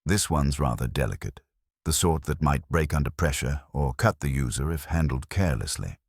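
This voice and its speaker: A man's voice: extremely low pitch, animated, normal speed, breathy edge, no room sound, clean studio sound, exaggerated voice. A man voicing a proud, self-satisfied mechanical craftsman in a mystery audiobook, matter-of-fact about his expertise.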